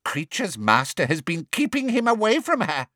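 High wheezy old elf, put on by a male reader, sly and cackling, dry sound. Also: huge pitch swings, normal speed, bright, forceful tone, no echo, exaggerated voice. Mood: sly glee.

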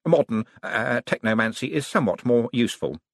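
A man's voice: medium pitch, lively intonation, brisk, no echo, clean studio sound. A man voicing a friendly, flustered young wizard scholar in an audiobook, modest but bookish, hesitating and stammering with many ums. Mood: apologetic.

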